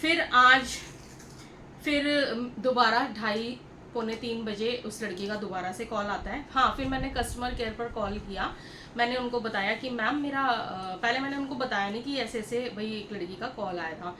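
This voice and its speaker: A woman with a fairly high voice chats casually to her vlog viewers. She repeats points as she tells her story and speaks to them directly. The recording is roomy and echoey, with clear background noise. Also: moderate pace. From India. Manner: chatty, repetitive, addresses viewers directly. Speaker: vlogger.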